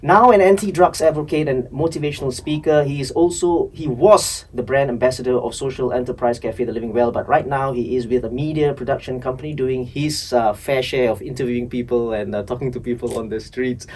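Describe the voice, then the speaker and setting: A man's voice: medium pitch, fairly quick, few pauses, far from the mic, a little hiss or hum. A man hosting a podcast, with a Singaporean English accent, asking eager questions and often repeating words. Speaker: podcast host. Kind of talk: casual interview.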